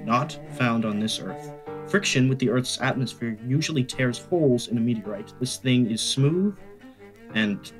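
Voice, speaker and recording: Mid-pitched man, actor playing puzzled academic expert, formal measured speech, radio play, light music behind. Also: lively intonation, lightly acted. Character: measured, puzzled scientist professor. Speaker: professor being interviewed. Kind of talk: radio drama acting.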